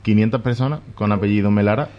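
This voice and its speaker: A young man working as radio producer, flatly reading out figures. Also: on the low side, fast, muffled recording.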